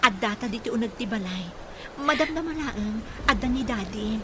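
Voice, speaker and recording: A woman's voice: fairly high pitch, animated, moderate pace, noisy background, close to an everyday voice. A woman playing a protective older sister comforting a child in a radio drama. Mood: soothing, urgent.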